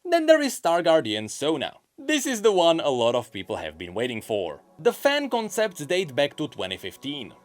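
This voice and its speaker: A man's voice: fairly high pitch, huge pitch swings, normal speed, few pauses. A man hosting a scripted gaming news show, narrating with wry, dryly sarcastic asides. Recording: music behind the voice. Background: background music.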